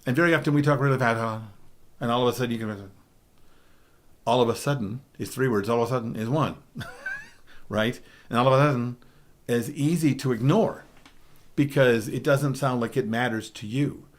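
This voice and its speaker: A middle-aged man with a baritone voice giving a DJ training talk, emphatic, often checking in with "okay?". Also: huge pitch swings, moderate pace, pauses often. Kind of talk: spoken presentation.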